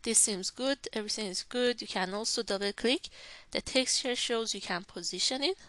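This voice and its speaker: A woman walking through a software tutorial step by step, thinking aloud as she clicks through the program. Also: on the high side, moderate pace, no echo. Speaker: instructor. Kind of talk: screen-recorded software tutorial.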